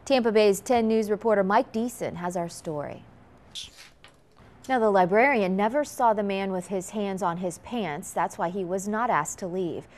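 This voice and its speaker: A woman's voice: mid-range voice, moderate pace, darkish tone. A woman reading scripted television news as a morning anchor.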